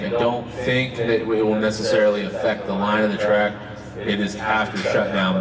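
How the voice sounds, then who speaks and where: A man commentating live on drifting from a track booth, explaining the action in a casual play-by-play style. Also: lowish voice. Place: announcer booth at an outdoor race track. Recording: echoey, distant tower audio, loud crowd and engines. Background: loud crowd and car engines.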